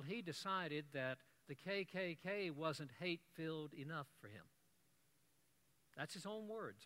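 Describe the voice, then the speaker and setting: Bright-voiced preacher telling a story. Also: male voice, fairly high pitch. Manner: animated storyteller, wry asides. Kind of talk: sermon storytelling.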